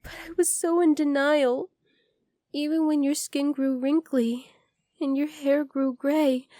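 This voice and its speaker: A woman plays an ageless female fox spirit with a high, mellow voice, clinging and devoted to her master. She speaks tenderly and very intimately to one listener, in the close, dry sound of an ASMR roleplay. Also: no room sound. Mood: grieving, lonely. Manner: soft, tender, intimate, addressing the listener directly.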